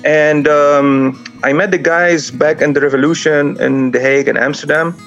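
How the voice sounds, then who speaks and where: A man from Lebanon, guest on a radio interview, telling his story in a lively way. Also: on the high side, normal speed, highs cut off, faint music behind. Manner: lively retelling, quoting others. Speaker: guest, volunteer, engineer.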